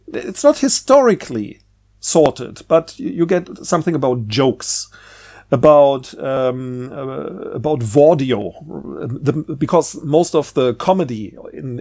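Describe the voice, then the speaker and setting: Higher-voiced man rambling casually. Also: darkish tone. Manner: rambling, halting, trails off and rephrases. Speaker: book reviewer and presenter. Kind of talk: casual unscripted vlog monologue over a book.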